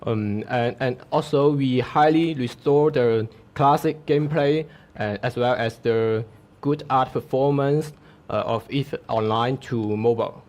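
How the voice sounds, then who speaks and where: A man's voice: mid-range voice, measured pace, darkish tone. A man speaking as a game designer and guest on a live stream panel.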